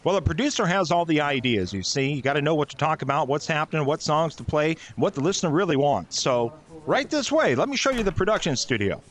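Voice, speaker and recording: A man acts out a chatty North Pole radio station worker in a comic Christmas play. He is brisk and encouraging, with a fairly high voice that swings up and down. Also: sing-song. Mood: brisk, welcoming.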